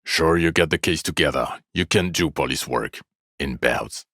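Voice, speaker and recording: An actor playing a jaded, bitter police detective in a video game, speaking with blunt, weary, deadpan sarcasm in a gravelly voice. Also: male voice, extremely low pitch, normal speed, no echo, clean studio sound, heavily acted. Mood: angry, fed up. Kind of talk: dramatic video game dialogue.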